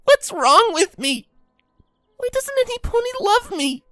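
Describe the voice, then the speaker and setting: Young cartoon filly: very high, swooping voice, wounded and pleading, faltering delivery, dry close sound. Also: female voice, very animated, a little slow, pushed and bright, no echo, soft background music, exaggerated voice. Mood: tearful, desperate. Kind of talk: dramatic audio story acting.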